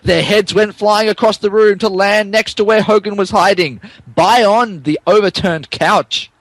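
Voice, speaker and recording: A man with a fairly high voice narrates fan fiction in a mock-dramatic style. He takes his time, giving straight-faced emphasis to garbled words. The sound is dry and close. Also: fairly even intonation, measured pace, dry recording. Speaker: podcast narrator.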